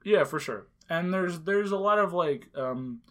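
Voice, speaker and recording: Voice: mid-range voice, lively intonation, unhurried, fairly bright tone. A podcast co-host chatting casually about a film, rambling with lots of "like" and "um". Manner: rambling, heavy on filler words. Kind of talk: casual movie review chat.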